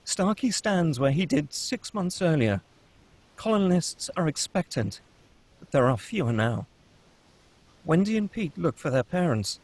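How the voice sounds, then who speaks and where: Brief: table read narrator, matter-of-fact, husky voice. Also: male voice, on the high side, unhurried, low-quality recording. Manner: steady, matter-of-fact reading. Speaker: narrator reading stage directions.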